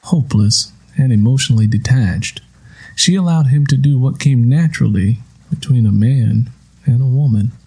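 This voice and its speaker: Mellow, slightly husky male audiobook narrator. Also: medium pitch, poor audio. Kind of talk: scripted literary narration.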